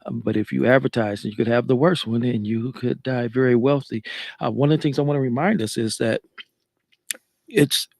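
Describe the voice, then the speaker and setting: Measured man pastor joining the call. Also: mid-range voice, fairly even intonation, a little mellow, no echo. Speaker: pastor, audience member joining. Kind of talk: casual remarks on a live call.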